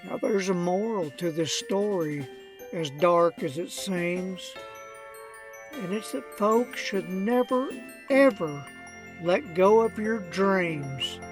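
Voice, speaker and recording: Woman playing a slow-talking redneck old-timer. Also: on the low side, animated, over music. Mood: smug, wry. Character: crusty old redneck man, gun-toting, wryly unrepentant storyteller. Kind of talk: comedic rhymed storybook narration.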